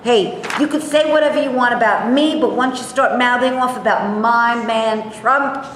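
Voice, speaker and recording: An actor playing a brash, combative man who is quick to take offence, in a staged reading of a play. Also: very high-pitched, fairly bright tone. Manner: blustery, confrontational. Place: indoor stage reading, live room. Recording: echoey hall, faint background noise.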